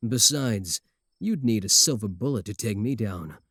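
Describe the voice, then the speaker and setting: A man's voice: on the low side, animated, moderate pace, fairly bright tone, husky, no echo, clean studio sound, exaggerated voice. A man voicing a possessive, boastful werewolf in a comedic ASMR roleplay, sardonic and taunting, doting on his sweetheart.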